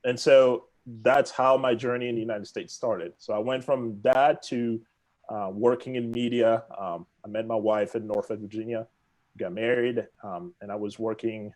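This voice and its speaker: A man from the Congo whose first language is French, speaking with his accent as an interview guest, telling his story in an animated, self-deprecating way. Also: on the low side, normal speed, low-quality recording. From Democratic Republic of the Congo. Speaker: guest, real estate executive.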